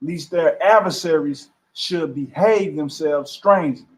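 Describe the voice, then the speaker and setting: A man with a slightly high voice reads verses for the group. His reading is careful and measured, taking each phrase at an even pace. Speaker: panel member reading scripture.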